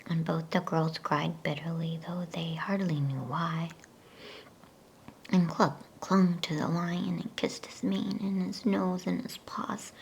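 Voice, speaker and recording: A woman reading a storybook aloud in a hushed, soothing ASMR whisper, taking her time as a bedtime reader. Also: fairly low pitch, creaky, a little hiss or hum.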